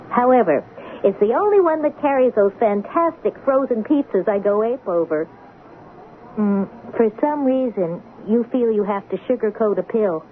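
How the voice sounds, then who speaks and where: A woman playing a wry, sharp-witted teenage daughter in a radio drama, with a wisecracking, dramatic delivery. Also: a little high, close to an everyday voice. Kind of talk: radio drama acting with first-person narration. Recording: tinny, noisy old radio broadcast.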